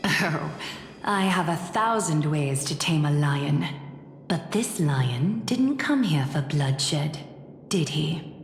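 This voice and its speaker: Casting: poised game prophetess, stately and assured, unhurried, lower register, echoing hall. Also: female voice, expressive, heavily acted. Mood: sly, confident. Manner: stately, theatrical, measured. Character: regal, poised prophetess noblewoman, brazen and assured. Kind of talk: video game voice acting. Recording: echoey hall reverb, light music behind.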